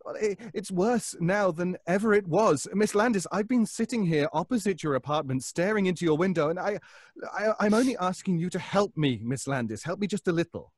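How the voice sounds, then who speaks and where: An actor playing a nervous, obsessive neighbor in an old-time radio suspense drama, stammering and pleading. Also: male voice, high-pitched, expressive, fairly quick, no room sound, studio quality. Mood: desperate pleading. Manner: stammering, hesitant, breaking off.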